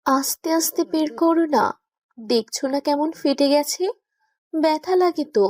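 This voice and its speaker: A woman's voice: high-pitched, unhurried, darkish tone, no echo, studio quality. A woman playing a childish young woman in love, whining, sulky and pleading, in a romantic audio story. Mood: pained, pleading. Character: young woman in love, childish, pouting, whiny wife or girlfriend.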